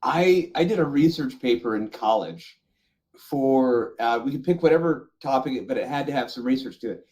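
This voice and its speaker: A man's voice: medium pitch, lively intonation, normal speed, darkish tone. A man around his forties co-hosting a casual group podcast chat, telling stories in a joking, sweary way. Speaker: podcast co-host. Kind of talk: casual group chat about movies and games.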